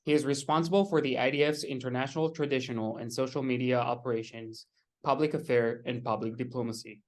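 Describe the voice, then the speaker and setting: A man's voice: medium pitch, steady tone, fast talker. A young man hosting an event, formally reading an introduction and audience questions. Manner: formal, reads from notes. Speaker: event host.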